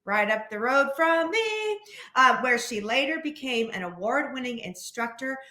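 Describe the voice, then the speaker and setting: American lady podcast host, highish bright voice, chatty and gushing, bit of room echo. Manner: effusive, chatty. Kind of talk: casual podcast hosting. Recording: roomy echo on the voice.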